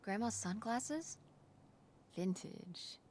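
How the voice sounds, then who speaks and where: A woman's voice: mid-range voice, lively intonation, very slow, a little mellow, slight background noise. A woman playing a curious, wry teenage daughter in a naturalistic video game performance. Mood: keen.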